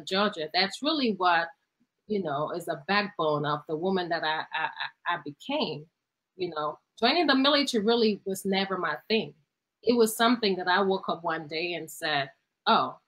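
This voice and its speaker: A woman's voice: medium pitch, fairly bright tone, rough recording. A middle-aged woman from Cameroon, a guest in a studio interview, speaking earnestly and motivationally and sometimes repeating words while she searches for them. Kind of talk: conversational interview answer. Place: television studio.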